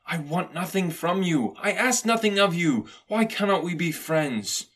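Man voicing a bright, high-pitched cheerful young nephew. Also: animated, moderate pace. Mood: earnest goodwill. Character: cheerful, warm-hearted young gentleman nephew. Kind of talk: dramatised classic storybook reading.

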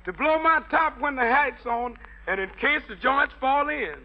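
A man's voice: very high voice, animated, normal speed, dull audio. A man performing a wisecracking, jive-talking soldier in a 1940s radio variety comedy, reciting garbled orders in playful hep slang on a scratchy old broadcast. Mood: playful, cocky.

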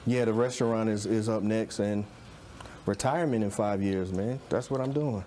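A man's voice: on the low side, normal speed, dark tone, a little hiss or hum. A man in his late thirties chatting casually as a chef being interviewed. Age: late 30s, nearly 40. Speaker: celebrity chef and caterer, guest.

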